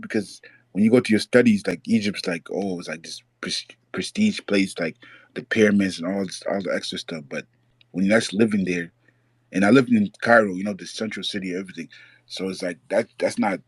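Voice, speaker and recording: A man's voice: fairly low pitch, steady tone, a little fast, slightly rough, no echo. A man chatting as a podcast guest, rambling with many fillers and restarts. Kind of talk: casual podcast chat.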